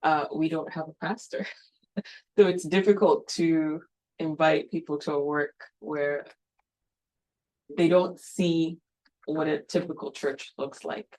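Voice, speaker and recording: A woman's voice: low-pitched, steady tone, slow pace, pauses often, warm tone, poor audio. A woman from Kenya, a missionary planting a church, speaking earnestly at a mission event with many ums and you knows.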